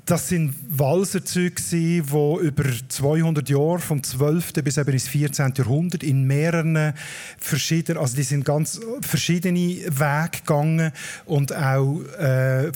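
A man's voice: on the high side, a little mellow. A man with a Swiss German accent, a dialect expert explaining word origins in a radio conversation. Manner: explanatory, lecturing on word history.

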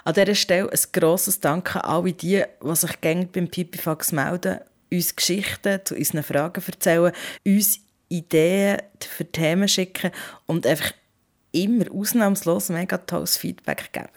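Low-voiced woman chatting casually. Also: steady tone, moderate pace. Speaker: podcast host. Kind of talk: casual chatty podcast talk.

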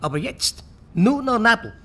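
A man's voice: very high voice, very animated, normal speed, bright, forceful tone, full character voice. An actor in a radio play playing an easygoing, wry village council man with a Swiss accent. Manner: colloquial, wry. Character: easygoing, sympathetic male village council colleague.

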